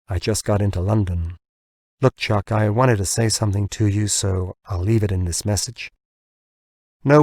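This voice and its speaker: A man's voice: fairly low pitch, dry recording, studio quality. A man voicing a bitter, ranting American man who taunts and tumbles over his words in an audiobook. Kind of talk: audiobook character dialogue.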